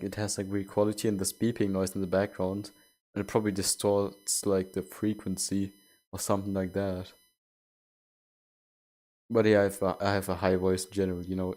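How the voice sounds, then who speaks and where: Young man, low-pitched, casual gaming stream chatter, rambling. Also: a little mellow. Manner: rambling, self-correcting. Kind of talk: casual chat while gaming.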